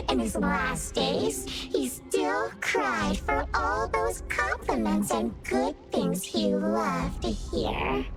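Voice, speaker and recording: A woman's voice: medium pitch, creaky, full character voice. A woman voicing an eerie flower spirit in a video game, theatrical and repetitive in a singsong way. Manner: theatrical, repeating words in singsong. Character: eerie female flower spirit, servant of a dead master.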